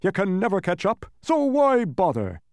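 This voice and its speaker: Booming, high-pitched pompous old beetle. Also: male voice, wildly swooping pitch, normal speed, a little mellow, clear, resonant tone, no room sound, studio quality. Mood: scornful. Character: blustering, pompous old humbug beetle, boastful male fraud. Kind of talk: animated storybook character reading.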